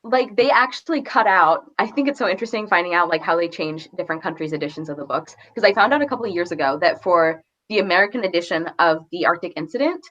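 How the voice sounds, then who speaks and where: A woman's voice: on the low side, fast talker, few pauses. Woman chatting casually, dull lo-fi sound. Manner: animated retelling with quoted asides, frequent "like". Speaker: book discussion co-host. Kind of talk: casual book discussion chat. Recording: dull lo-fi audio.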